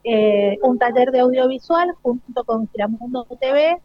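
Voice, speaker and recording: A woman's voice: high voice, animated, moderate pace, no echo. An Argentine woman speaking as a university lecturer, explaining articulately and off the cuff in an interview. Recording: dull, dry live radio sound.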